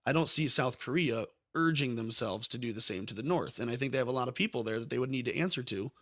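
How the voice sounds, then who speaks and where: A man's voice: medium pitch, expressive, fast, fairly bright tone, no room sound, clean studio sound. A man hosting a political talk podcast, musing at length with many ums and self-corrections. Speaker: podcast host. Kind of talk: political commentary chat.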